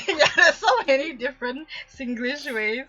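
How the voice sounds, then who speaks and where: Giggly female streamer with Singaporean accent, high voice, theatrical reading, slightly dull audio over music. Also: a little slow, sharp tone, no echo. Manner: giggly, often breaking into laughter mid-sentence. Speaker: livestream host. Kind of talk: playful dramatic fan fiction reading on livestream.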